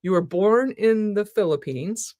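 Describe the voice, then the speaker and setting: A woman's voice: mid-range voice, animated, a little slow, darkish tone, dry recording, studio quality. A woman hosting a live talk show, effusive and enthusiastic as she chats with her guest. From United States. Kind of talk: live interview chat.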